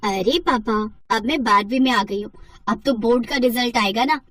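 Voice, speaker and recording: A woman acts out a teenage elder daughter in a cartoon moral tale. The voice is fairly high and slightly husky. She comes across as proud, eager and girlish. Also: moderate pace, a bit bright, no echo. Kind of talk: Hindi moral-story cartoon acting.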